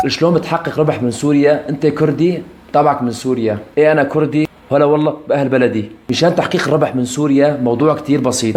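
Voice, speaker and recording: Syrian guy in his 20s doing a casual vlog q&a, talks super fast, normal pitch. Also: a little mellow, slight background noise. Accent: Syrian Arabic. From Aleppo, Syria. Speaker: YouTube content creator. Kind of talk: casual vlog answering follower questions.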